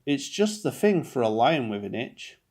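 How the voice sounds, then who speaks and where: A helpful lion from a children's storybook, voiced by a man reading aloud. The advice is delivered with emphasis in a creaky, gravelly tone. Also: medium pitch, very animated, moderate pace, darkish tone. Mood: confident advice.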